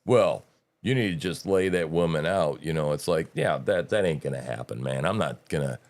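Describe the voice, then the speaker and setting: A middle-aged man with a very low, deep voice talks loosely on a talk show. He rambles, restarts his sentences and leans on folksy slang. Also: moderate pace, darkish tone. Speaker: host. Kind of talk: casual political talk.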